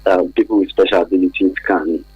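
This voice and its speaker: Low, husky Nigerian man, halting speech. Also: fairly even intonation, no echo, muffled recording. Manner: hesitant, halting, explanatory. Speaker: expert guest on a radio programme. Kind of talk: radio interview answers. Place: radio studio.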